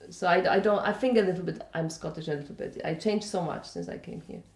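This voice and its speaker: Polish woman chatting in an interview, lowish voice, rambly, echoey room. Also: fast, gravelly, darkish tone. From Poland. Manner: conversational, rambling, checks in with "you know" and "yeah?". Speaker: interviewee, festival organiser. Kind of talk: casual interview answers.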